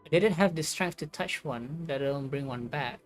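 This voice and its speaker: A woman livestreaming a game, reading its text aloud and musing on it in a halting way. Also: low voice. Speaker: game streamer. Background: game music.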